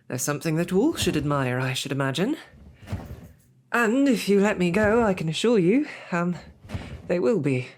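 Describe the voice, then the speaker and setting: A woman's voice: fairly low pitch, darkish tone, a little hiss or hum. A woman voicing a snarky, formal captured royal knight in an audio roleplay. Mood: wry, coaxing. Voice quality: formal, wordy and wry. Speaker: audio drama performer.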